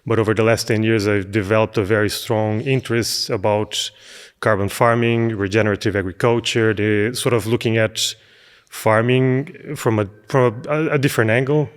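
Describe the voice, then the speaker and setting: A man's voice: on the low side, fairly even intonation, normal speed, long reverb tail. A middle-aged man from Brazil, a podcast guest speaking thoughtfully, with frequent fillers and restarts as he searches for words. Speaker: podcast guest, business analyst. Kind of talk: conversational interview.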